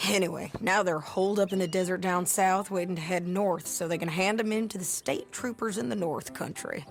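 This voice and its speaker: An actress playing a hardened, wry frontier bounty hunter in a western video game, drawling with an American Southern accent. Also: female voice, fairly low pitch, brisk, soft background music, close to an everyday voice. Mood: bitter, matter-of-fact.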